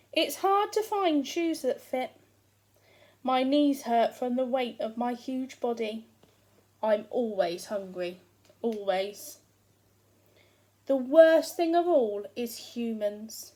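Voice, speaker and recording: A woman's voice: fairly high pitch, warm tone. A woman voicing a huge, hungry, self-pitying giant who complains with sarcastic emphasis as he tells his own storybook tale. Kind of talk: first-person storybook narration read aloud.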